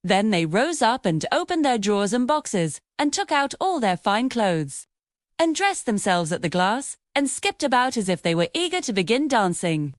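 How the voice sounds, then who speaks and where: A woman's voice: fairly high pitch, big pitch swings, moderate pace, dry recording, clean studio sound. A woman reading a fairy tale as a scripted storybook narration.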